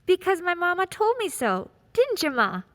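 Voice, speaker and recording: Woman as chirpy small child, very lively intonation, high voice, long reverb, radio drama. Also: normal speed, heavily acted. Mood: chirpy, sure. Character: chirpy, trusting little child Lizzie. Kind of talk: amateur radio play acting.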